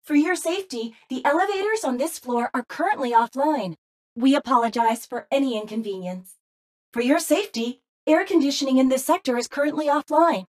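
High pitched facility computer lady for a game, polite, formal, scripted announcements, totally unfazed. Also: expressive, a little fast, a little mellow. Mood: polite, detached. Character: cheerful corporate facility announcement computer, female. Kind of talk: scripted public-address announcements.

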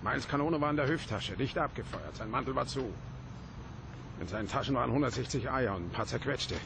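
An actor playing a familiar, plain-spoken police detective in a German radio play, matter-of-fact and colloquial. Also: male voice, mid-range voice, expressive, brisk, gravelly, background noise.

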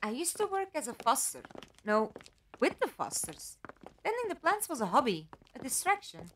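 A woman's voice: high-pitched, wildly swooping pitch, moderate pace, fairly bright tone, slight background noise, heavily acted. A woman acting a composed, logical amnesiac captive in a fan-made audio drama, with dry, formal wording. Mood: reflective, uneasy.

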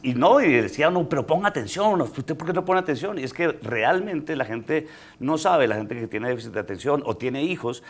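A man's voice: a little high, animated, a little fast. A middle-aged man from Colombia, a guest comedian on a studio talk show, telling a personal story with many hesitations and laughs. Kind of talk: casual talk show chat. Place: studio set.

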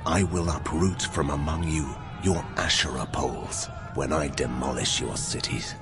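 An actor playing the Lord God as a mighty, wrathful deity in a dramatized audio Bible, solemn and commanding. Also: male voice, rumbling low, steady tone, moderate pace. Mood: stern, wrathful. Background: dramatic music.

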